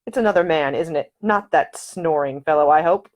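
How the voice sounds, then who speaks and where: A woman voicing a charming young gentleman who talks in a playful, gallant, gently teasing way. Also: low-pitched, moderate pace, close to an everyday voice.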